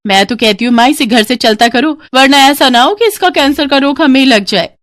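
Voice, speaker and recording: A woman plays a vain young stepsister from a bedtime story, gleefully cruel. Her voice sits high and sneers as she taunts, in a close, dry recording. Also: a little fast, fairly bright tone, dry recording. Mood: spiteful.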